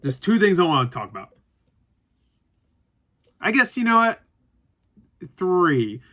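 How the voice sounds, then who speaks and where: A man with a bright, punchy voice pitched a little high talks casually as a reviewer. He rambles through his opinions without a script, doubles back to correct himself and swears freely. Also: big pitch swings, slow pace. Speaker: movie reviewer. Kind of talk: casual unscripted review chat to viewers.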